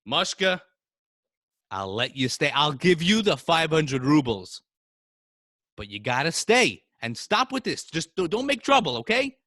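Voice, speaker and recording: Henpecked rich landowner, done by a male storyteller: raised voice, lively pitch, coaxing, exasperated, stumbling. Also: unhurried, dry recording, studio quality, exaggerated voice. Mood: grudging.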